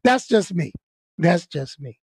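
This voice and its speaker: A man's voice: on the high side, big pitch swings, slow, husky, no room sound, studio quality. A man from Brooklyn, hosting his own live stream, joking and chatting straight to his viewers. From Brooklyn, New York. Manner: joking, hyped, talks to viewers directly. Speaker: YouTube live stream host. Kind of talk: casual live stream outro chat.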